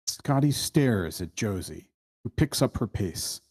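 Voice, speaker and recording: A man narrates the stage directions of a screenplay at a table read. His voice is rather low and noticeably husky. The delivery is scripted narration. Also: expressive, takes it slowly, a little mellow.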